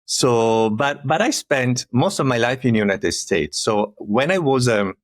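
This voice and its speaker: A man in his fifties with an Italian accent, a neurologist telling his career story in an earnest, measured way as a podcast guest. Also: mid-range voice, animated, no echo, studio quality. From Italy. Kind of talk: conversational interview.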